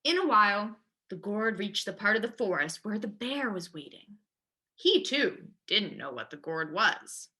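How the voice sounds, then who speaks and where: A woman's voice: medium pitch, sing-song, a little slow, pushed and bright. A woman reading a children's story aloud expressively, as a librarian does at storytime.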